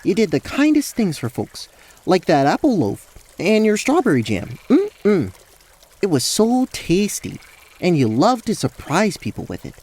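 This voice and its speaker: A man voicing a sweet, earnest, easily flustered young talking goat in a fantasy audio drama, with folksy, stammering, gentle speech. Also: high-pitched, huge pitch swings, unhurried, slightly rough, pitched above the performer's own voice, exaggerated voice. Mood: warm, earnest.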